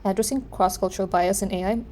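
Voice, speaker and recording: A female student giving a scripted class presentation in an earnest, steady delivery. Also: medium pitch, quick pace, slight background noise.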